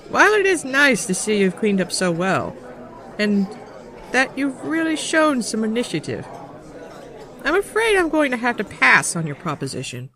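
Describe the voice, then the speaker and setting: A woman's voice: a little high, big pitch swings, moderate pace, fairly bright tone, some chatter behind. A woman acting a tough, scornful woman in her seventies, a domineering crime matriarch with sardonic, theatrical delivery in a motion comic. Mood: defiant.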